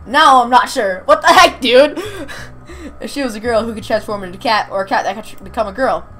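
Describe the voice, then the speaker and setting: A woman's voice: on the high side, brisk, a bit bright, soft background music. A woman reading a visual novel aloud, stumbling over words and rereading them, with off-script comments. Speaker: amateur reader of a visual novel.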